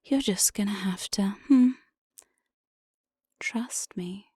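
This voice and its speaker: A woman playing an evil, playful demon jailer in an ASMR roleplay, breathy and often whispering, with a sly, mock-sweet menace, close on the microphone. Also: mid-range voice, slow, warm tone, no echo. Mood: sly persuasion. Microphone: close binaural studio microphone, dry.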